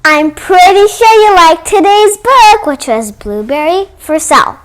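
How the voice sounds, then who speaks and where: A woman's voice: extremely high pitch, animated, unhurried. A young girl hosting a children's storytime, reading a picture book aloud. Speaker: storytime host.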